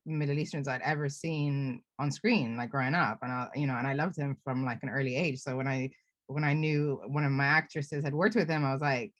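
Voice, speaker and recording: Woman director of Lebanese origin, thirties, low voice, dry close sound, reflective and chatty on a video call. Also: steady tone, brisk, no room sound. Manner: chatty, self-reflective, laughs often, many restarts. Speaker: film director being interviewed.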